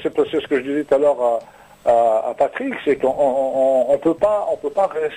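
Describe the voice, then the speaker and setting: Older guy, kabyle native, husky voice, keeps repeating words and going "euh", chatting on the radio. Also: medium pitch, dark tone, low-quality recording. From Normandy, France. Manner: halting, many repeated words and fillers. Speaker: singer-songwriter guest. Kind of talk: casual radio interview.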